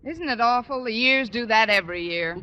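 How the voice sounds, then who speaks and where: An American actress playing a wry, quick-witted single English teacher in a 1950s radio comedy, with dry, wisecracking delivery. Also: female voice, a little high, lively intonation, natural voice. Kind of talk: 1950s radio sitcom acting. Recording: muffled old radio recording, hissy, with clicks.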